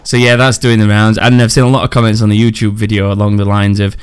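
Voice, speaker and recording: A man hosting a casual gaming podcast, chatty and rambling, quick to laugh. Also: fairly low pitch, flat delivery, noisy background. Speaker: podcast host.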